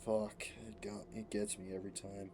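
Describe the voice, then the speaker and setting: A man's voice: lowish voice, level tone, moderate pace, mellow, slight background noise. A man giving effusive, emotional reaction commentary as he plays a game, sometimes reading its text aloud. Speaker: game streamer. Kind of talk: let's play reaction commentary. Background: game music.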